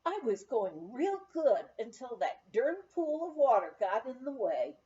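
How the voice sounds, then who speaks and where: Lady doing a nosy good-hearted cook woman in a memoir, blunt n folksy, high swingy voice. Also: sing-song, unhurried, mellow. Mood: folksy, earnest. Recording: slightly dull, lo-fi recording.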